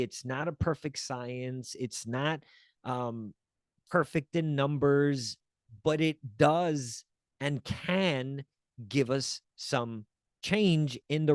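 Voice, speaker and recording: A man's voice: mid-range voice, no room sound, clean studio sound. A man teaching as a wellness coach, earnest and encouraging, often checking in with the listener and restarting phrases as he searches for words. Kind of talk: teaching talk to camera.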